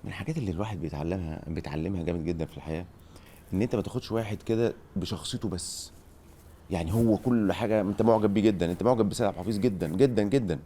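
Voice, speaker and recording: A man's voice: sits low, rapid-fire, warm tone, slight background noise. A middle-aged man with an Egyptian accent hosting a chat and giving advice, often checking in with rhetorical questions. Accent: Egyptian Arabic. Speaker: podcast host, former football director.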